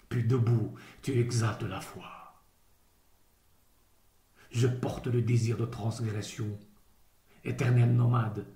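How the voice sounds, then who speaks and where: A man reciting literary poetry solemnly, with measured, declamatory phrasing and deliberate pauses. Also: medium pitch, darkish tone. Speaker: poetry reader. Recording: roomy echo around the voice.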